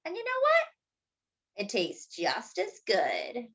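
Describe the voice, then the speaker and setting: A woman reading a picture book aloud to young children as a storytime host, expressively, with drawn-out words and little asides to her listeners. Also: fairly high pitch, huge pitch swings, a bit bright.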